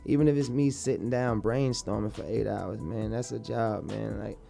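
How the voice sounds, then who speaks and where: A young man speaks in a laid-back, rambling way, often circling back on his own words. His voice has a gravelly, creaky edge. Faint music plays behind him. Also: fairly low pitch, steady tone, normal speed, mellow. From Baltimore, born in Dallas. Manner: laid-back, repeats himself a lot. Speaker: rapper guest. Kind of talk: casual interview talk.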